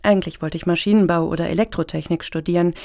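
A woman's voice: on the low side, steady tone, fast talker, creaky, darkish tone. An actress playing an earnest, self-important middle-aged woman activist in a satirical radio play, speaking formally and wordily. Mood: self-pitying, earnest.

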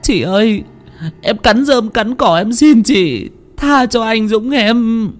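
A man voicing a frantic young village woman who begs for help, shrill and pleading. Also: a little slow, faint music behind.

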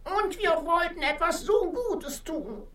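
Chatty old girl parrot, super high, all over the place, kids radio play. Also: sing-song, a bit bright, a little hiss or hum, heavily acted. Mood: earnest, determined. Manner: theatrical, drawn-out, exclaiming. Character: talkative old female parrot, proud and resourceful. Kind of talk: children's radio play acting.

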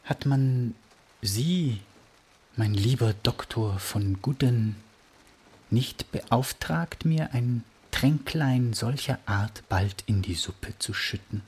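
A man's voice: mid-range voice, takes it slowly, darkish tone. An actor playing a proud deposed king in a radio drama, theatrical and sarcastic, drawing out his words with mock playfulness. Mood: suspicious, menacing. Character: deposed Bavarian king, proud, huge, theatrically bitter and suspicious.

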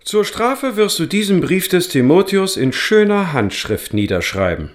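An actor playing a strict, spiteful medieval schoolmaster in a German radio drama, haughty and goading. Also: male voice, high-pitched, very animated, a little slow. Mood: stern, goading.

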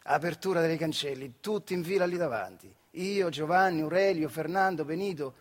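Husky old worker, Marche accent. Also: male voice, on the high side, moderate pace. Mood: eager, nostalgic. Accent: central Italian, Marche. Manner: earthy, colloquial, acts out dialogue. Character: retired Italian factory worker recalling his wartime boyhood. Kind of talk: theatrical monologue storytelling in dialect-tinged speech.

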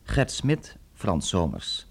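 A man's voice: low voice, wildly swooping pitch, slow pace, gravelly, slightly rough. A man announcing on the radio, reading formal scripted closing credits.

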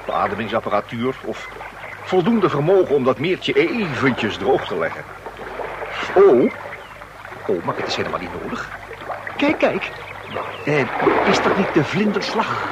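An actor in a comic radio play as a fussy gentleman bystander, polite, pedantic and wordy. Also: mid-range voice, very animated, a little slow. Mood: indignant. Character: fussy, verbose gentleman bystander, a reserve officer. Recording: noisy outdoor scene in radio play.

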